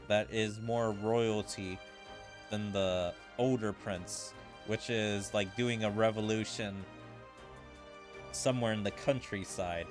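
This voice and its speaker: A man giving casual, rambling gameplay commentary as a streamer, speculating as he goes with plenty of filler words. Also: on the low side. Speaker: video game streamer. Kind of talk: casual gameplay commentary with dialogue read aloud. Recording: game music behind the voice. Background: video game music.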